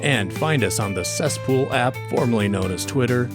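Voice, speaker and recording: A man with a medium-pitched voice hosts a podcast with music behind him. His delivery is scripted and mock-formal, like an announcer running comic proceedings. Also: animated, normal speed. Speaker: podcast host. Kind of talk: scripted comic courtroom-style podcast narration.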